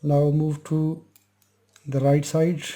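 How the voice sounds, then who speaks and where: A man's voice: a little high, level tone, takes it slowly, mellow. A man hosting an electronics repair channel, walking through a repair step by step in short, simple sentences. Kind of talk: hands-on repair demonstration. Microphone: lapel mic.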